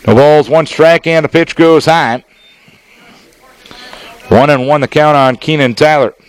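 An American man works as a radio baseball announcer. His voice sits a little high for a man, and he delivers live play-by-play in measured, descriptive phrases, leaving space between them. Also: steady tone, clean tone, no echo. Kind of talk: live sports play-by-play commentary.

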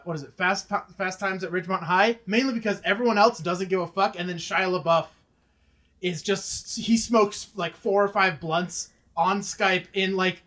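A man chatting casually as a podcast co-host, sweary, sarcastic and animated in manner. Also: high voice. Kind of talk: casual comedic group chat.

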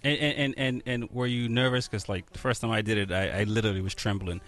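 A man with a fairly low voice hosts a relaxed interview, tripping over his words with repeated "and, and, and" before landing a question. He laughs easily between his thoughts. Faint music plays behind him. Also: fast talker. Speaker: host. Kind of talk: casual interview chat.

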